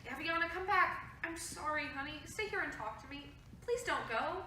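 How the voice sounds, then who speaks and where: A woman's voice: sits high, lively intonation, moderate pace, pushed and bright, a little hiss or hum. A woman voicing a fussy, tidy mother who corrects her child, in a virtual staged reading recorded in an echoey room. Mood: pleading. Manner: prim, correcting, fretful. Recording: echoey room, mic far off.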